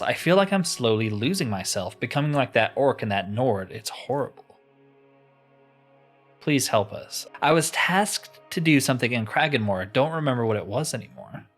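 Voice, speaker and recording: A man's voice: medium pitch, fairly bright tone. Man voicing a desperate captive legionnaire.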